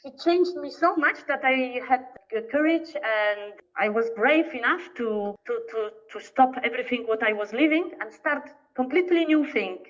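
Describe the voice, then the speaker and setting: A woman from Poland with a Polish accent, a podcast guest telling her story in English, often pausing to search for words. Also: high voice. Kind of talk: casual interview talk. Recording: distant, dull lo-fi remote audio.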